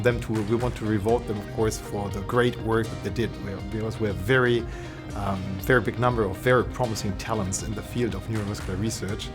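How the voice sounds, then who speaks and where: A middle-aged man, chair of a prize committee, speaking in an interview in a measured, slightly halting way. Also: lowish voice. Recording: music playing underneath.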